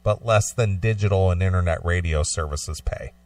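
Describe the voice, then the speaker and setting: A man's voice: deep voice, moderate pace, a little mellow, recorded from a distance. A man co-hosting a radio talk show, reading out news items and adding casual commentary.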